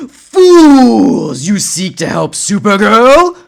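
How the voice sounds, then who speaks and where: A man's voice: high-pitched, sing-song, very slow, gravelly, pitched above the performer's own voice, exaggerated voice. A man voicing a sinister male villain disguised as a ghost, in a dramatic comic reading. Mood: menacing.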